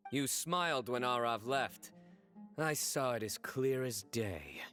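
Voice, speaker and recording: An actor playing a stern, composed lawman in a video game, interrogating in a deliberate, authoritative way. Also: mid-range voice, fairly bright tone, faint music behind. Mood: coolly accusing.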